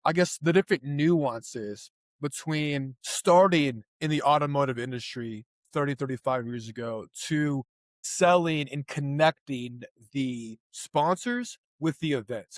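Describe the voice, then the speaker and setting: Friendly podcast host man, slightly high voice. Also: expressive, measured pace, dry recording. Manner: friendly, affirming, conversational. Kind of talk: casual interview talk.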